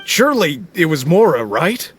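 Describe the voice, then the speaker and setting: A frightened, timid male merchant from a video game. His voice sits a bit high and frets along anxiously, with faint music behind. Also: sing-song, normal speed, fairly bright tone. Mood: nervous, cautioning. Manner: fretful, pleading, chatty.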